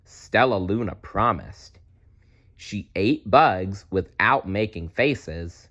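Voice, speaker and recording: Slow, very expressive male storyteller. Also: lowish voice. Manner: dramatic, deliberate storytelling. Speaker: storybook narrator. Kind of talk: expressive children's read-aloud.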